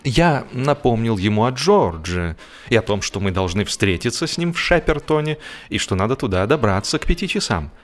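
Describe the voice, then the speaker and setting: Casting: male storyteller with an average-pitched voice for scripted comic classic literature. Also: big pitch swings, normal speed, soft background music. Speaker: audiobook narrator. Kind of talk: scripted humorous literary narration.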